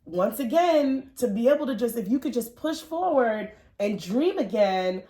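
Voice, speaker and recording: A woman with a somewhat high voice hosts an interview, recorded with a clearly roomy echo. She speaks conversationally and supportively, affirming and encouraging the person she is talking with. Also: expressive, normal speed, warm tone. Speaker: podcast host.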